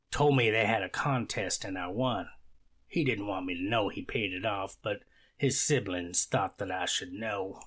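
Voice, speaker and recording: A man's voice: fairly low pitch, a bit bright, breathy edge. A man playing a devoted 79-year-old mother giving an earnest, plain statement in a court reenactment. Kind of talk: amateur readers theater court transcript reenactment.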